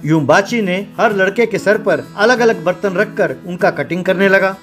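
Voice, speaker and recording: A man giving scripted narration of a comic animated story. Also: high voice, normal speed, faint music behind.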